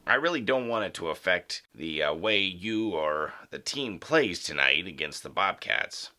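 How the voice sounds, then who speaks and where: Man as a low-voiced, guarded school principal. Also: lively intonation, unhurried, bright, forceful tone, heavily acted. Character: measured, evasive school principal questioning a student. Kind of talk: audio drama acting.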